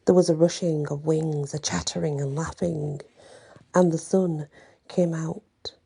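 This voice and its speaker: A woman narrates a bedtime story in ASMR style, very soft and dark in tone. Her voice is husky and creaky, and she lingers over phrases in a hushed, unhurried way, now and then turning aside to the listener. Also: low-pitched, fairly even intonation. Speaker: storyteller reading a tale aloud.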